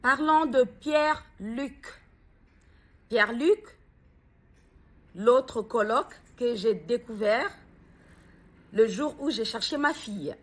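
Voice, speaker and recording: A grieving mother speaking out in a personal video, hesitant and halting as she searches for words, then pressing earnest questions. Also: on the high side, expressive.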